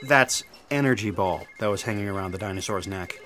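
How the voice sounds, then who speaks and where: A man's voice: on the low side, brisk, bright, forceful tone, soft background music. An actor playing a patient, wry male broadcast assistant who explains things in comic sci-fi audio theatre. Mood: patient, explanatory.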